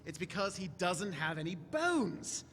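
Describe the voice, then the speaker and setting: A man's voice: high voice, very animated, unhurried, a bit bright, a little hiss or hum, full character voice. A man playing a clever, eccentric alien time traveller, wry and confidently explaining, in a live audio drama. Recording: echoey hall stage recording.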